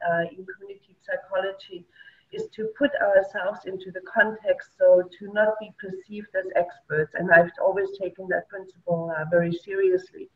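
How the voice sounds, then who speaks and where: A woman's voice: mid-range voice, distant mic. German-accented woman on a tinny video call. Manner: hesitant, many "uh" pauses, self-effacing. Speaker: award-winning community practitioner. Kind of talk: reflective conversational talk. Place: home office with bookshelves. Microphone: laptop mic on a video call.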